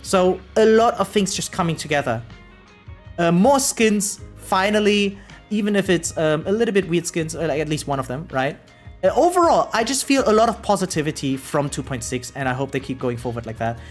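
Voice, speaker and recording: Game streamer, casual and opinionated, very lively intonation, mid pitch, light music underneath. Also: fairly quick. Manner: animated, opinionated, informal with swearing. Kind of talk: casual livestream chat.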